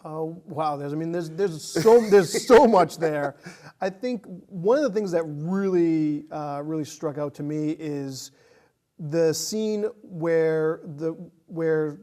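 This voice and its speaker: A man's voice: fairly high pitch, slow pace, warm tone. A man co-hosting a casual film discussion, feeling his way through thoughts with repeated phrases. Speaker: podcast co-host.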